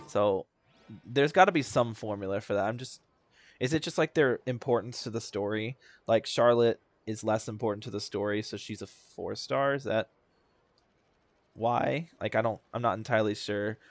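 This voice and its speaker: A man's voice: lowish voice, moderate pace, dry recording. A young man casually commenting while playing a video game, rambling and chatty with many restarts. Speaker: gaming video host.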